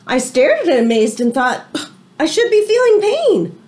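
Anxious small boy for rhyming picture book played by a woman: high, wildly varied pitch, dramatic, easily horrified. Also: wildly swooping pitch, darkish tone. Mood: amazed, uneasy. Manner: dramatic, drawn-out words, rising alarm.

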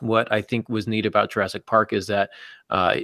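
A middle-aged man hosting a casual discussion about writing, explaining things in a measured way. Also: fairly low pitch, fairly even intonation, pushed and bright, dry recording, studio quality. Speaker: podcast host and novelist. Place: indoor office, on a video call.

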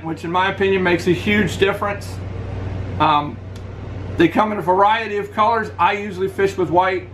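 High-voiced middle-aged man reviewing lures. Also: a little hiss or hum. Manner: relaxed, practical, shows lures to camera. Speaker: fishing channel host. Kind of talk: casual gear review. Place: indoors, living room at home.

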